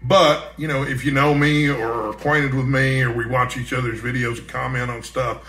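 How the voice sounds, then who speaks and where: A man's voice: medium pitch, steady tone, normal speed, pushed and bright. An American man chatting casually as a book vlogger, in a folksy, rambling way. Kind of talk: casual chat to camera. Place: indoor room at home. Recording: echoey, roomy sound.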